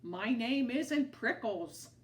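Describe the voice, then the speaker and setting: A woman voicing a grumpy, prickly male cactus, yelling indignantly in a children's storybook reading. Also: fairly high pitch, lively intonation, takes it slowly, distant mic.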